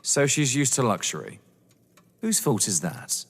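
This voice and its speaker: A man's voice: mid-range voice, very animated, unhurried, a bit bright, husky, long reverb tail, exaggerated voice. A man playing a proud, guarded young lover in a theatrical audio drama, answering with dry retorts. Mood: indignant.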